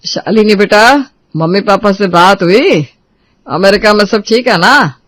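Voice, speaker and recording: A man playing an affectionate, reassuring middle-aged father in a Hindi family drama, speaking gently to his family. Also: high-pitched, a little slow, dry recording. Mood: concerned.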